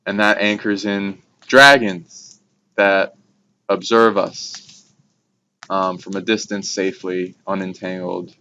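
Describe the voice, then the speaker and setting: Male, very low and flat, halting casual rambler on esoteric subjects; dull recording with noise behind. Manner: halting, trailing phrases with abstract jargon. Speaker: speaker on esoteric topics. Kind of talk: casual rambling spiritual discussion.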